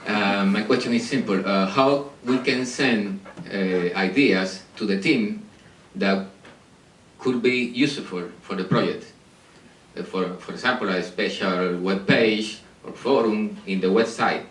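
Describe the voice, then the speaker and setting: A man from Cuba with a Cuban Spanish accent asking a question from the audience in halting, apologetic English. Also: on the low side, fairly bright tone. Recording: echoey room, distant mic, faint noise.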